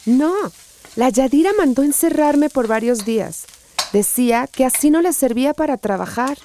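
An actress playing a gentle, resilient female survivor, speaking earnestly and confidingly in a radio drama. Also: sits high, moderate pace, slight background noise, lightly acted. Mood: somber, recalling.

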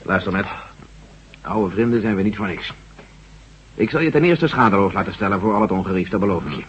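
An actor playing a gruff, jovial army general in a Dutch radio drama, talking in a bluff, hearty, colloquial way with a husky voice. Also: male voice, lowish voice, lively intonation, fairly quick, slight background noise, lightly acted. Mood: businesslike, friendly. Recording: dull, muffled old radio recording.

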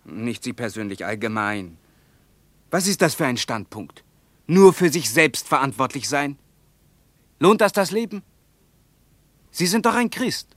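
A man's voice: mid-range voice, very animated, measured pace, pauses often, dry recording. An actor playing a zealous, scornful Soviet Russian man in a radio play, lecturing emphatically. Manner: emphatic, lecturing, evasive under questioning. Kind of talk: mid-20th-century German radio play dialogue.

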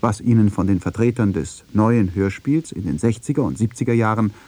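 A man narrates a documentary feature from a script. His voice sits fairly low and has a dark, mellow tone. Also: a little fast, husky.

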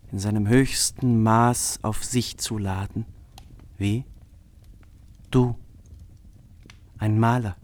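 An actor playing an exasperated friend in a literary radio drama, arguing in an emphatic, rhetorical, declamatory way. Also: male voice, mid-range voice, natural voice. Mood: exasperated challenge. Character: forceful, exasperated male friend scolding an artist.

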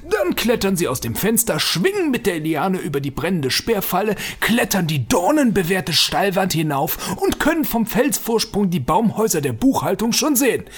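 An actor playing a fussy, grumpy janitor in a comic audio drama, husky and blustering. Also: male voice, sits high, exaggerated voice. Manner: indignant, blustering, scolding. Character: grumpy, fussy building janitor with a wooden leg.

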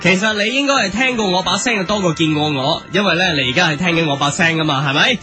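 A man's voice: sits high, quick pace, music in the background, lightly acted. A man playing a boastful, chatty sound-effects performer in a comic Hong Kong Cantonese radio sketch. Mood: chirpy, boastful. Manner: chatty, self-promoting. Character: boastful, chirpy male TV sound-effects performer greeting fans.

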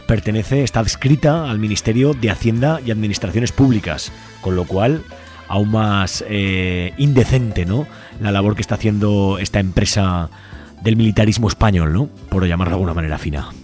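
Low-voiced man hosting radio, Spain Spanish. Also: normal speed, a little mellow, soft background music. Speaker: radio host. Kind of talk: informal community radio talk.

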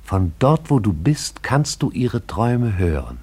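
A man narrating a poetic radio play in a husky, hushed, incantatory and intense way. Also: on the low side, big pitch swings, unhurried.

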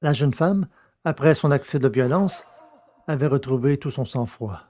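A man reading science fiction aloud with a measured, deliberate delivery. His tone is mellow and dark, at a middling pitch for a man. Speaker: narrator and producer. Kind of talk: audiobook narration.

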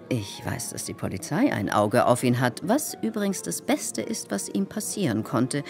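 A woman's voice: extremely low pitch, creaky, a little mellow, exaggerated voice. An actress playing a domineering, scheming society hostess in a radio play, insistent and theatrical in her mock concern. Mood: gossipy, scornful. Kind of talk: German radio play acting.

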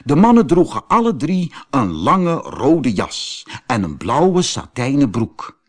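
A man narrates a fairy tale for children as an audiobook. His storytelling is expressive, with lively movement in pitch, and he goes at a slow, unhurried pace.

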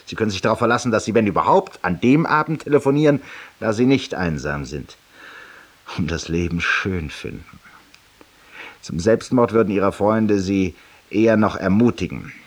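Middle-aged male barroom raconteur: low husky voice, urbane, ironic, confessional, theatrical radio monologue. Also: lively intonation, moderate pace. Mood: sardonic. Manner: eloquent, urbane, ironic, addressing a silent listener.

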